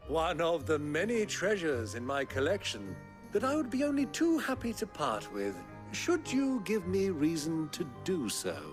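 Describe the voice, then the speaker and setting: A man's voice: fairly high pitch, huge pitch swings, unhurried, soft background music. An actor voicing a crafty older British nobleman in a video game, with pompous, florid, sly diction. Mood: smooth bargaining.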